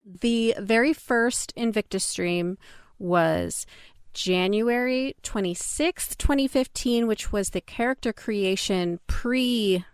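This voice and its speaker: A woman's voice: medium pitch, slow, smooth, dry recording, studio quality. A woman chatting as a guest on a fan podcast, laying out details precisely. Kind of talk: casual fan podcast chat.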